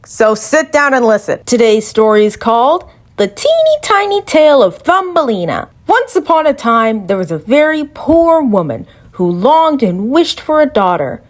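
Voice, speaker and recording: A woman's voice: a little high, very animated, normal speed, few pauses. A woman narrating a fairy tale in a casual, chatty way with playful asides. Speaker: storyteller.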